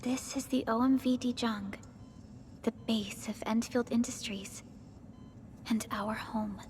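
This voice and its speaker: A woman playing a calm, caring young supervisor in a video game, speaking softly and breathily in a measured, gentle, formal manner. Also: fairly high pitch, slight background noise. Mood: reassuring. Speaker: game character voice actor. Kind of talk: scripted video game dialogue.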